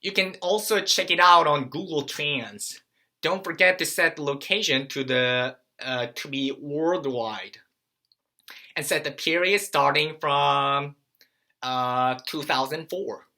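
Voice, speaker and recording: A man in his thirties, a native Korean speaker with a Korean accent, calmly explaining a language lesson to a webcam in a small room. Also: a little high. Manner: calm, unhurried explaining. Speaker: Korean teacher. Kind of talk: talking-head lesson to camera. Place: small room by a bright window.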